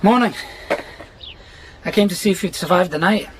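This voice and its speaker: A man's voice: high voice, moderate pace, close to an everyday voice. An actor playing a helpful, practical rural man with a Scottish accent in naturalistic radio drama, plain and matter-of-fact. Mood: neighbourly. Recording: faint outdoor and vehicle noise behind.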